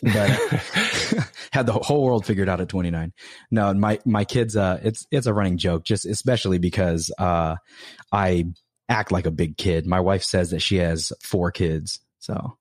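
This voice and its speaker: A man in his thirties talks as a podcast guest with a very deep voice. He is easygoing and laughs often, circling back as he talks, and the recording is dry and close. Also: measured pace, dry recording. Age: 30s. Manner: laughing, easygoing, rambling with restarts. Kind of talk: casual interview chat.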